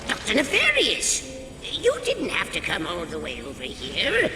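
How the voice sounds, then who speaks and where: A woman's voice: medium pitch, wildly swooping pitch, unhurried, roomy sound, in a large hall, over music. A woman voicing a fawning, eager-to-please villain's underling in a video game. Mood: deferential. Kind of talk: video game cutscene acting.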